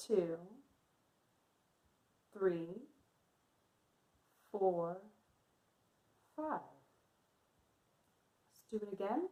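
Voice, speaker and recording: A woman's voice: medium pitch, a little mellow. A woman teaching young children in a read-aloud lesson, encouraging and often checking in with her listeners. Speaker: kindergarten teacher. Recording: echoey room sound.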